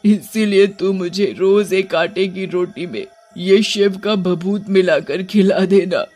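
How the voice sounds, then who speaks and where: A man voicing a cursed old grandmother witch, menacing yet tender, in an animated horror bedtime story. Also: high voice, takes it slowly, faint music behind. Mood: solemn, tender.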